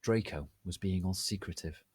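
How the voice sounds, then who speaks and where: A man giving a theatrical, deliberate dramatic reading of fan fiction as its narrator. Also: low-pitched, slight background noise. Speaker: narrator, reader.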